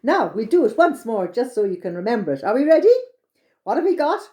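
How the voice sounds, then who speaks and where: Children's storytime host: Irish woman, high-pitched mellow voice, encouraging, simple direct address. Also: big pitch swings, a little fast. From Ireland. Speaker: library storytime host leading songs and exercises.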